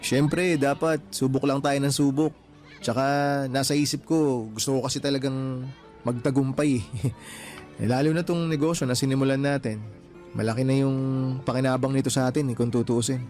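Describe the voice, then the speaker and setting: A man's voice: mid-range voice, fairly even intonation, normal speed, pauses often, darkish tone, faint music behind, natural voice. A man acting an earnest, hardworking young husband and father-to-be in a radio romance drama, talking in an easygoing conversational way. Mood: hopeful, determined.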